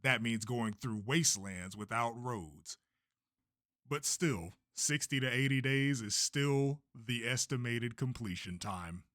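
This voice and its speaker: Man acting bright, grandiose race promoter. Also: mid-range voice, no room sound, clean studio sound. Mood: measured, assured. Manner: grandiose, declamatory, deliberate. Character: showy, unflappable male race promoter at a press conference. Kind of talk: amateur anime-style manga dub.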